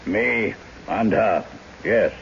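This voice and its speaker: An actor playing a huge, stubborn foreign settler in a radio drama, blunt and terse in broken, accented English. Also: male voice, on the low side, drawn-out words. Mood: gruff. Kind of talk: old-time radio drama acting. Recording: dull, faintly noisy vintage radio broadcast.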